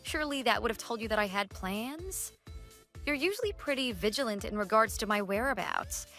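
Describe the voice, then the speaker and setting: A woman's voice: fairly high pitch, lively intonation, fairly quick, sharp tone. An actress playing a curt professional woman fending off a pestering coworker in a radio drama, cool, dismissive and businesslike. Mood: cool, firm. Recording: voice over background music.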